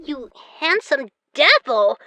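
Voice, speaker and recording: A woman's voice: high voice, sing-song, slow, bright, forceful tone, exaggerated voice, low-quality recording. A woman voicing a bratty, mischievous young boy turtle villain in a cartoon fan dub. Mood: cheeky, curious.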